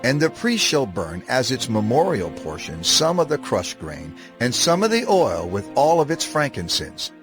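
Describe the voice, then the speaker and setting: Casting: male narrator for dramatized scripture, mid-range voice with expressive rise and fall, grave delivery. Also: mid-range voice. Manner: solemn, measured, deliberate emphasis. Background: music.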